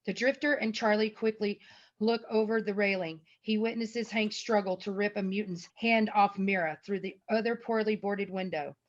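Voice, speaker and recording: A woman's voice: medium pitch, steady tone, normal speed. A woman reading stage directions as narrator at a table read, recorded over a lo-fi video call. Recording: dull lo-fi video call audio.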